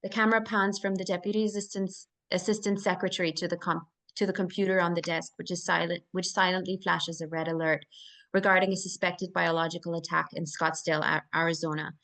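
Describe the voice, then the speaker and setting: A woman's voice: on the low side, level tone, fairly quick, fairly bright tone. A woman reading scene directions as narrator at a live screenplay table read.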